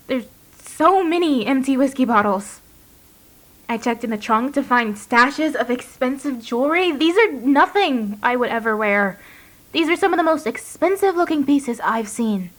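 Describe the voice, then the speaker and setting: Audio drama: uneasy, guarded young mother, fairly high voice, halting confessional monologue, emotionally raw. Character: anxious, guarded young woman, reluctant new mother recording a diary.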